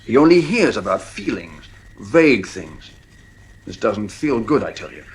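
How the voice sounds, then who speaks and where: Radio play: a frank, opinionated doctor of the mind, husky tone, expressive intonation. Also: male voice, on the low side, heavily acted. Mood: grave, frank. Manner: measured, wry, opinionated. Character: male psychiatrist, frank, wry family friend. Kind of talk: radio drama acting.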